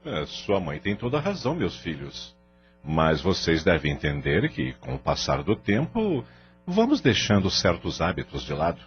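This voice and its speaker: A man's voice: sits low, dull audio. A Brazilian man playing a middle-aged family father in an audio drama. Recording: band-limited recording with music bed.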